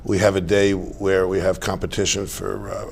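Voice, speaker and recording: A man, a guest telling stories in a relaxed, rambling way with many restarts. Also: sits low, moderate pace, vocal fry, a little hiss or hum. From Staten Island, New York. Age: 60s. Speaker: interview guest. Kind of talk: casual interview chat.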